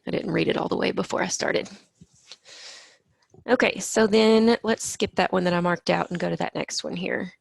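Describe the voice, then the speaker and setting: Brief: hoarse, gravelly-voiced woman math teacher, lower pitch, patient step-by-step explaining, dry close sound. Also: steady tone, moderate pace, no echo. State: slightly hoarse from allergies. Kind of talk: recorded math lesson.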